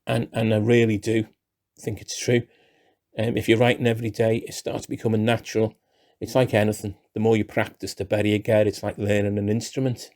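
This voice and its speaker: A man in his sixties with a Liverpool accent, speaking in a relaxed, chatty way with dry self-deprecating humour. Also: fairly low pitch, a little fast. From Liverpool, England. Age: 60s. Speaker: playwright. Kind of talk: relaxed talking-head interview.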